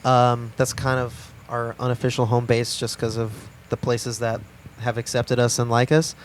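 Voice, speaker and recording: A man's voice: medium pitch, monotone, moderate pace, a little hiss or hum, low-quality recording. A man, a band member talking easily about shows and records. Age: 20s. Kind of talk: casual interview.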